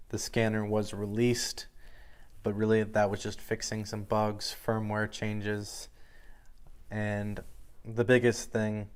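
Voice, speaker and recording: A man's voice: lowish voice, clean tone. A man giving an informal product review in a matter-of-fact, explanatory way.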